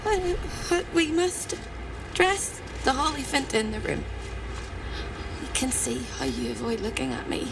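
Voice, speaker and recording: A woman acting a self-conscious, long-tortured captive in a video game, with halting, dramatic delivery. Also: high-pitched, huge pitch swings, distant mic, very noisy, full character voice. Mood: self-pitying.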